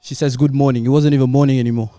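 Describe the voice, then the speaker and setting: A man's voice: mid-range voice, steady tone, racing, warm tone, clear, resonant tone, no room sound, faint music behind. A man co-hosting a football podcast with a plaintive, melodramatic delivery, doing a mock-sad comic monologue to his friends. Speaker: podcast co-host.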